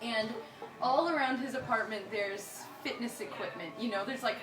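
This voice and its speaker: A woman's voice: a little high, moderate pace, reverberant, distant mic, music in the background, rough recording. A young woman from Michigan telling a personal story in a chatty, giggly way, with many fillers and bursts of laughter.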